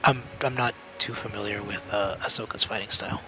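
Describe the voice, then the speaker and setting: A man's voice: lowish voice, fairly even intonation, brisk, poor audio. A man in casual chat as a co-commentator on a game stream, hesitant and inquisitive. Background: video game music.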